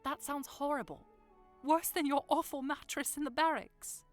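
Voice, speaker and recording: Woman playing a high-voiced, spirited young noblewoman. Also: moderate pace, soft background music, natural voice. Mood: stubborn, teasing. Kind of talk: audiodrama acting.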